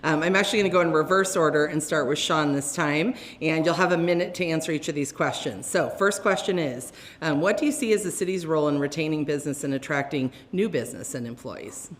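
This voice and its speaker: A woman moderating a candidate forum, asking questions in a brisk, organized way. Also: low voice, slight background noise.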